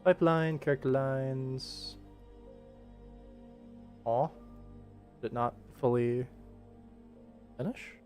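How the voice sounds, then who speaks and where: Male American streamer, mid-pitched, informal work-along chat, music in the background. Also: slow pace, long pauses, darkish tone. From United States. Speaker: livestreamer and animator. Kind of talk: casual livestream chat while working.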